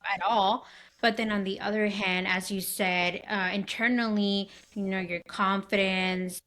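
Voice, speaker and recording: A woman's voice: medium pitch, steady tone, unhurried, fairly bright tone. A woman hosting a podcast, talking in a loose conversational way with frequent restarts. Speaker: podcast host. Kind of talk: casual interview chat.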